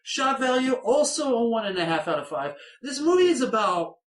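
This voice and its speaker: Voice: mid-range voice, very animated, normal speed. Rambling reviewer in an echoey room. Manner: rambling, with many fillers and repeated words. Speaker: horror film reviewer. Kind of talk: casual spoken film review.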